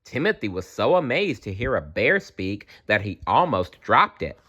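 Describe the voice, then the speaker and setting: A man reading a children's book aloud as a storyteller in an animated style. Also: fairly low pitch, normal speed, husky, muffled recording.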